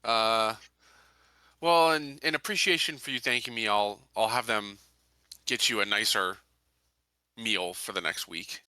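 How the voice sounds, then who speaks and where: Man, tabletop player, very sharp bright tone, crisp, low pitch, halting casual improvised roleplay, dry sound. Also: dry recording.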